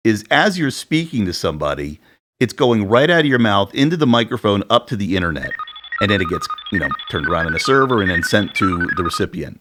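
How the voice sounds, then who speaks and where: A man in his forties with a deep voice, co-hosting a podcast and chatting casually from his home setup, rambling a little. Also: fairly bright tone. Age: 40s. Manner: chatty, rambling, self-deprecating about sound gear. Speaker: podcast co-host. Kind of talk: casual chat. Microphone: studio dynamic mic at home.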